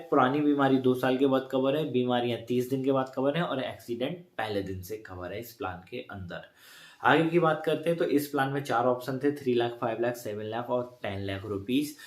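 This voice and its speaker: Man explaining in an echoey room. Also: mid-range voice. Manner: chatty, repeats points, corrects himself mid-sentence. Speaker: insurance advisor vlogger. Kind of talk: casual explainer to camera.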